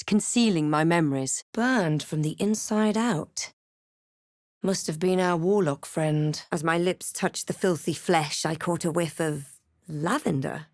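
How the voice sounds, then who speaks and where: A woman playing an adventurous, thoughtful fantasy hero in a role-playing game, with a measured, literary and dramatic delivery. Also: lowish voice, dry recording, clean studio sound. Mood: wry, watchful. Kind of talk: scripted game voice lines and narration.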